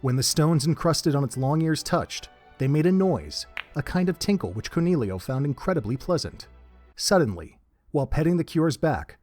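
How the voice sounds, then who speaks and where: A man's voice: fairly high pitch, expressive, normal speed, darkish tone, soft background music. A man reading scripted narration for an audio drama, telling the story in prose.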